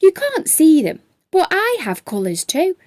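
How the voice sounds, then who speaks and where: A woman's voice: very high voice, wildly swooping pitch, unhurried, a little mellow, no room sound, voice pushed up, heavily acted. A woman voicing a friendly, encouraging young boy in a children's storybook. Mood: reassuring. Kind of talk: children's storybook read-aloud.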